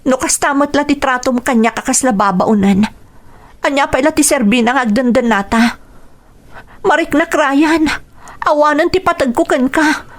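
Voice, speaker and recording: A woman's voice: high voice, moderate pace, close to an everyday voice. An actress with a Filipino accent playing an anguished, pleading young wife and mother in an Ilocano radio drama. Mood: hurt. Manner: pleading, emotional. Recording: music bed under the dialogue.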